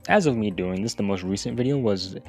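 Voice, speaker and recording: A man's voice: on the low side, expressive, quick pace, darkish tone. A man narrating a video essay in a casual, informal way, with wry, sweary asides. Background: background music.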